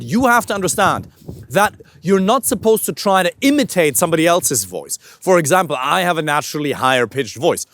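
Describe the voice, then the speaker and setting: A man's voice: high voice, a bit bright. A man coaching other men on how to use their voice, talking to camera while walking outdoors, emphatic and casual, with the odd swear word. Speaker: dating coach.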